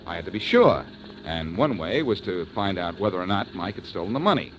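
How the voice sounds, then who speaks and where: An actor playing a confident, wisecracking detective in a 1940s radio drama. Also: male voice, low-pitched, animated, a little fast. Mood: explaining. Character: wisecracking reformed-crook detective, man. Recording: old radio recording with faint hiss.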